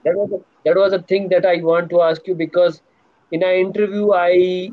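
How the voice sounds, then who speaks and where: A man's voice: sits high, level tone, normal speed, dry recording. A man interviewing a guest, asking hesitant questions with non-native phrasing. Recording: tinny phone-like line.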